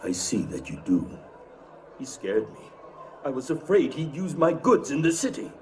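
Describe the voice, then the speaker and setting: A man acting a cagey older crime boss who owns a casino in a video game, formal, measured and politely evasive. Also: on the high side, big pitch swings, faint music behind. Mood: confiding, wary.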